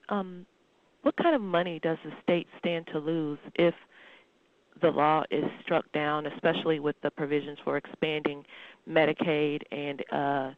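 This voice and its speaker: A woman's voice: sits low, dry recording. A woman working as a newspaper reporter, asking a press question over the telephone. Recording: thin, narrow, dry phone line.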